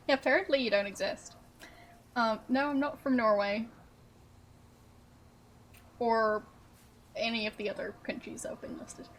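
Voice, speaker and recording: A woman streamer chatting casually with her viewers, relaxed and amused as she answers their guesses. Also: on the high side, measured pace, pauses often, a little hiss or hum, low-quality recording.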